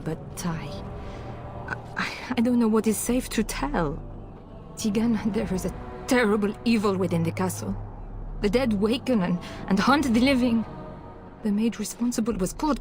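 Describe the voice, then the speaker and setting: Actress voicing a desperate noblewoman, halting. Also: medium pitch, music in the background, close to an everyday voice. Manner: anxious, halting, breathless pleading. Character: frightened noblewoman pleading for help.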